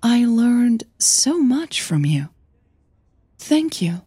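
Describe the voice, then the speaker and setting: A woman's voice: on the high side, very animated, drawn-out words, mellow, breathy edge, no echo, studio quality, pitched above the performer's own voice, exaggerated voice. A woman voicing an earnest, easily flustered young woman opera singer in an audio drama. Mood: friendly, polite.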